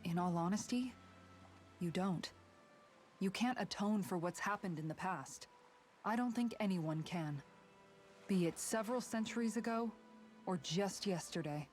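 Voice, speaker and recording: A woman's voice: mid-range voice, a little slow, long pauses, darkish tone, lightly acted. A woman playing a frank young female fighter over music. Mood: frank, supportive. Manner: earnest, firm, plain-spoken. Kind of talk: dramatic video game voice acting.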